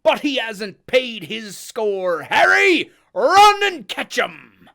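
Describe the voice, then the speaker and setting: A reader voicing a cunning, hearty one-legged old pirate innkeeper who talks loudly in sailor's slang. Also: mid-range voice, very animated, slow pace, creaky, voice pushed up, exaggerated voice. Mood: blustering. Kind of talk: audiobook character voice.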